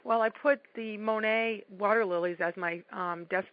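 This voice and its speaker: A woman in a group sharing softly, with a dreamy, trailing way of speaking. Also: medium pitch, steady tone, moderate pace. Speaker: group participant. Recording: distant lo-fi sound with faint noise.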